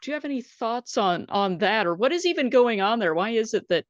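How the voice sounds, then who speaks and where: A woman's voice: on the high side, animated, a little fast, no room sound. Woman host on muffled call audio. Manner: earnest, uses fillers like "you know". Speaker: podcast host. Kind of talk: casual interview questions.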